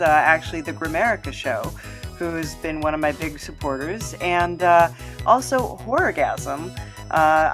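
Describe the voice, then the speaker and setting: A woman podcast host talks casually to her listeners. Her voice is a bit low, and she rambles informally with hesitant asides. Music plays clearly behind her. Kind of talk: casual closing announcements.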